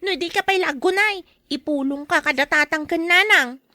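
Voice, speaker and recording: A woman's voice: very high-pitched, moderate pace, pushed and bright, no echo. A woman playing a cheeky, mischievous young sister, pert and teasing, in an Ilocano radio drama.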